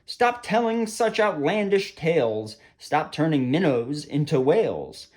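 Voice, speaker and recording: A man's voice: fairly high pitch, lively intonation, a little slow. A man voicing a stern, admonishing father in a children's storybook, with emphatic delivery. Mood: stern scolding. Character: stern father admonishing his imaginative young son.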